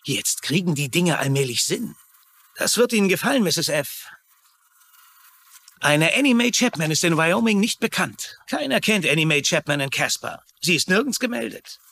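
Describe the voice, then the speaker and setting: High-voiced, earnest small-town sheriff. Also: male voice, very animated, normal speed, creaky, a bit bright, heavily acted. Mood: matter-of-fact. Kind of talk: dubbed TV crime drama dialogue.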